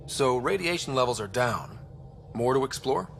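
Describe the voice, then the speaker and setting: Easygoing male space explorer, mid-pitched. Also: expressive, normal speed, creaky, a bit bright, faint music behind. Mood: easygoing, confident. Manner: casual, level-headed, dry. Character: young easygoing male human explorer leader. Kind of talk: video game cutscene acting.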